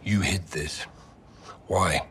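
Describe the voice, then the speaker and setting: A man's voice: sits low, steady tone, drawn-out words, background noise. A male actor playing an angry, sardonic veteran special forces captain who talks in a confrontational way. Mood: sardonic anger.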